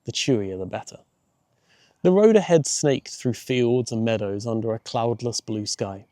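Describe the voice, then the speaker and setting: A man's voice: medium pitch, expressive, moderate pace, warm tone, no room sound, clean studio sound. A man reading a children's audiobook as its narrator, in scripted storytelling style.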